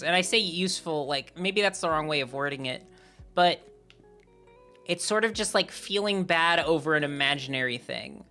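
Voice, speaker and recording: A young woman streamer chatting casually and candidly with her viewers, her voice lowered by a sore throat, rambling with lots of "like" fillers. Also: sharp tone. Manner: rambling and candid, heavy use of "like". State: sore throat, voice deeper than usual. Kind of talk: casual live-stream chat.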